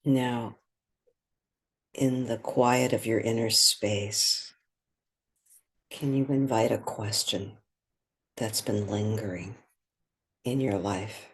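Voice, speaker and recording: A meditation guide with a soft, breathy voice, speaking gently and without hurry, leaving long pauses. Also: medium pitch, fairly even intonation.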